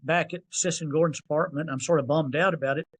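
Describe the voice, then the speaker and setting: A man in his sixties with a Southern US accent, a podcast guest telling stories in an animated, self-deprecating way. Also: a little high, fast. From North Carolina. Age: 60s. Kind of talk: storytelling interview.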